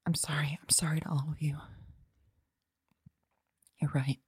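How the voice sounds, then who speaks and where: A woman's voice: deep voice, level tone, measured pace, husky, no echo, exaggerated voice. A woman playing a frightened woman who whispers, repeats herself and breaks off mid-sentence. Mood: hushed. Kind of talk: audio drama monologue.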